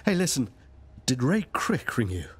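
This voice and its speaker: A man's voice: mid-range voice, very animated, normal speed, noisy background, natural voice. An actor playing an uneasy, hesitant man in a naturalistic radio soap. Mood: uneasy, sombre.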